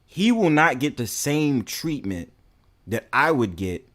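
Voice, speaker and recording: A man's voice: medium pitch, very animated, slow, a bit bright. A young man with a New York accent, talking casually and bluntly to his stream, full of fillers and swearing. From New York City. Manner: rambling, heavy fillers, blunt and profane.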